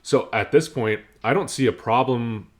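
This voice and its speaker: A man's voice: medium pitch, moderate pace. A man on a podcast panel, debating casually and insistently.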